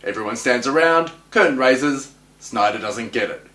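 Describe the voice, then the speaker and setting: A man delivering recap and analysis commentary as a host, with wry, clipped deadpan quips. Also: mid-range voice, moderate pace, noisy background. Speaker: host of a TV episode analysis show. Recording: roomy, echoing voice recording.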